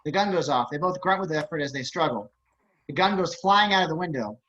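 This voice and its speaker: Bright, high-voiced male script narrator. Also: expressive, brisk. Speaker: stage directions reader. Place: indoors, on a video call. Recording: dull video-call audio.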